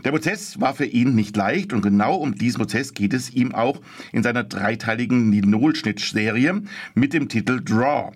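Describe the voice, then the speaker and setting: A man, a radio show host, reading a scripted introduction and then asking questions calmly. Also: medium pitch, moderate pace. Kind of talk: radio interview.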